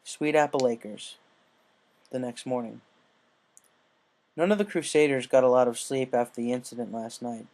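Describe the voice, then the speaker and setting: A man narrates a written story as an audiobook. His voice sits at a middle pitch. The delivery is scripted reading. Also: moderate pace, long pauses, darkish tone.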